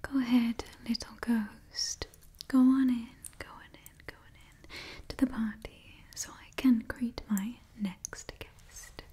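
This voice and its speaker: A woman in her twenties whispers an ASMR roleplay close to the microphone. Her delivery is slow and even, with a soft, mellow tone. She repeats short phrases in a soothing way. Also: on the high side, slightly rough. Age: 20s.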